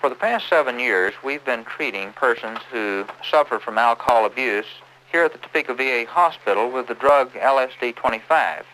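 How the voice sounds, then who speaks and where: A man's voice: mid-range voice, moderate pace, hardly any pauses, sharp tone, a little hiss or hum, low-quality recording. A man, a doctor, explaining his program in formal interview speech. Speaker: doctor, therapy program director.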